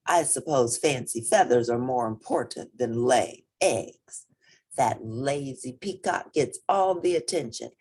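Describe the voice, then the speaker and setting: A woman's voice: a bass voice, a little mellow, slightly rough, exaggerated voice. A woman voicing vain, gossipy farm hens in a children's storybook, theatrical and emphatic. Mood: indignant.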